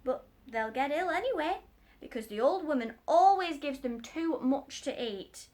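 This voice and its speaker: A woman's voice: high voice, animated, measured pace, a little mellow, pitched above the performer's own voice. A woman voicing a chatty working-class man who sells cat meat, rambling in folksy, stumbling talk. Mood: eager, wheedling.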